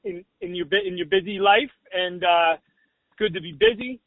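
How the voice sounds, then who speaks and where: A man hosting a podcast, asking questions in an easygoing, halting way. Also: high-pitched, sing-song, gravelly, no room sound. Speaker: podcast host. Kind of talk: casual interview. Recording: dry, phone-like band.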